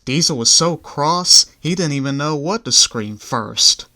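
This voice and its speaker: A man's voice: on the high side, expressive, unhurried, sharp tone. A man narrating a scripted children's story in the third person. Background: background music.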